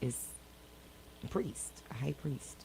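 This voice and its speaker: A woman's voice: very deep voice, animated, takes it slowly, gravelly, background noise. A woman hosting a casual live talk show, questioning people incredulously and repeating her words for emphasis. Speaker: radio show host.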